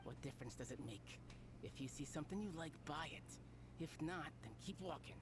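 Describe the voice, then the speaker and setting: An actor voices a shifty, cowardly dealer from a fantasy video game. The delivery swings widely in pitch between gruff bluster and nerves. Clear game ambience runs noisily behind the voice. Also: animated, normal speed, background noise, lightly acted. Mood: curt, guarded.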